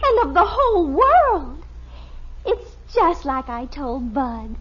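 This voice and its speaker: A woman's voice: sits high, sing-song, fairly bright tone, exaggerated voice. A woman playing a young, affected teenage girlfriend in an American radio sitcom, coy and gushing in an American accent. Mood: admiring, affected. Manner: coy, gushing, drawn-out delivery. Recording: dull vintage radio recording.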